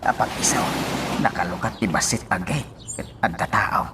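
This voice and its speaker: A man's voice: deep voice, expressive, moderate pace, very noisy. A man playing a weary older father who broods anxiously over his daughter in a radio drama. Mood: suspicious.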